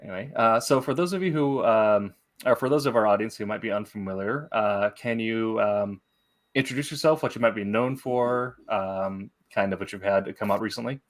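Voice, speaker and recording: A man hosting a casual interview show, speaking in a halting, hesitant way. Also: on the low side. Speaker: show host.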